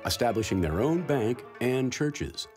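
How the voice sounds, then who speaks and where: A man narrating a local history documentary in a measured, scripted voice. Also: mid-range voice, sing-song, darkish tone. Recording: studio voice-over over music.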